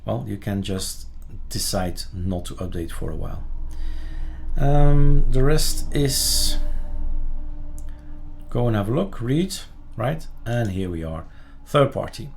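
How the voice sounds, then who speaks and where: Male tutorial presenter, lower register, casual and halting, thinks aloud while demonstrating. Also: expressive, gravelly, soft background music. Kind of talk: casual screen-recorded software tutorial.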